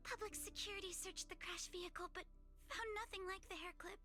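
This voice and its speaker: A polite, guilt-laden young woman in a game. Her voice is extremely high and bright, with gentle, formal phrasing. Soft music sits behind her. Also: fairly even intonation, fairly quick. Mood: grieving, guilty. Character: polite, wistful young woman carrying guilt over her lost father. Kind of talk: dramatic game voice acting.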